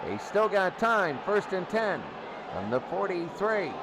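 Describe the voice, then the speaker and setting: A high-voiced male sports announcer calls American football play by play. He speaks in short, clipped bursts and repeats key calls. A loud crowd and heavy noise surround his voice. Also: very animated, unhurried. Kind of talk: excited live American football radio commentary.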